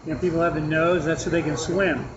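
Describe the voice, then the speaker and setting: A man's voice: fairly high pitch, fairly even intonation, fast talker. A man with a nasal voice hosting a podcast, rambling in casual chat with many restarts. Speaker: podcast host. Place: restaurant with a fan. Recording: muffled sound with clear background noise.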